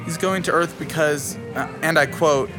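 An actor playing an awkward, anxious young university student in an audio drama, speaking hesitantly with stammers. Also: male voice, fairly high pitch, moderate pace, over music, close to an everyday voice. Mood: uneasy, flustered. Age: young adult.

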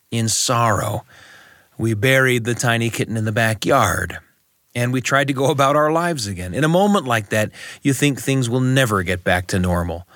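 A middle-aged man telling a personal story as a scripted monologue, reflective and deliberate, speaking in short phrases with pauses. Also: medium pitch, a bit bright, dry recording, studio quality.

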